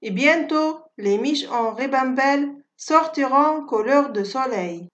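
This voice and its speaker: A woman reciting a poem for schoolchildren in a measured, deliberate, carefully phrased way. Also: high-pitched, expressive. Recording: dull, lo-fi audio.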